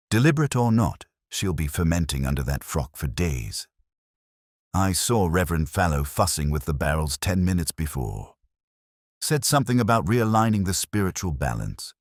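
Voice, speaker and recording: A man's voice: deep voice, animated, moderate pace, no echo, clean studio sound. A man putting on chattering pub gossips. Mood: gossipy. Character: gossiping small-town pub regulars.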